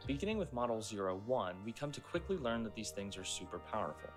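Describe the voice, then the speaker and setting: Male essay narrator, slightly deep, explanatory and deliberate, clear music bed underneath. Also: sing-song. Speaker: narrator explaining a horror series. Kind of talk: scripted video essay narration.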